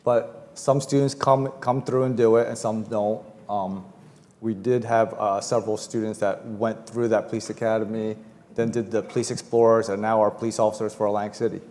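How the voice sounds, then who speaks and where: A man's voice: on the low side, level tone, normal speed, a little mellow, long reverb tail. A man whose first language is Chinese, a police officer speaking casually with many fillers on a panel. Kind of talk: panel discussion. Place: university forum hall.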